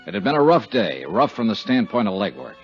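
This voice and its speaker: A man's voice: on the low side, sing-song, a little fast. A man playing a tough, wry insurance investigator in a 1950s radio drama, speaking and narrating in a hard-boiled manner. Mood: brooding. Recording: muffled 1950s radio recording with music.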